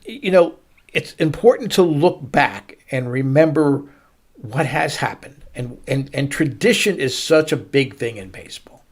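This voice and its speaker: A middle-aged man hosting a baseball show, telling a story to listeners with emphatic, dramatic pauses and stretched-out words. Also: mid-range voice, lively intonation. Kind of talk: storytelling sports commentary to listeners.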